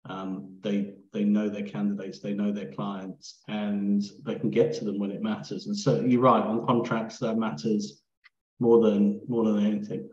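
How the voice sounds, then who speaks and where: A man's voice: lowish voice, little pitch movement, normal speed, a little mellow. A man hosting a podcast, chatting casually with a guest. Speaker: podcast host. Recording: roomy echo, dull lo-fi sound.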